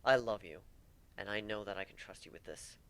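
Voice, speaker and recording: A man's voice: on the low side, a little fast, fairly bright tone, smooth, pitched below the performer's own voice. A man voicing a wounded male griffin captain in a story, wry, plaintive and emphatic, blaming himself yet devoted. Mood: earnest.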